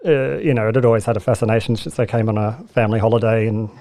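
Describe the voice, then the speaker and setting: A man's voice: on the low side, fairly even intonation, very fast, warm tone. A man in his thirties with an Australian accent, chatting as a guest on a podcast, talking loosely with lots of fillers and restarts. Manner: chatty and self-deprecating, many fillers and restarts. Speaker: guest, speech and language therapist. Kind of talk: casual podcast interview.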